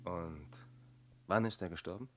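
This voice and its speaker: Casting: inspector's colleague in radio crime play; low voice, measured, sympathetic, skeptical questioner. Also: male voice, a bit bright. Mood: sober, sympathetic. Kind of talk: German radio crime drama acting.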